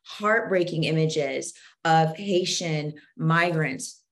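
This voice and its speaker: A woman's voice: low-pitched, slow. A woman from Zambia, a podcast guest talking with passion and many restarts, verbose and earnest. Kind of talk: conversational interview.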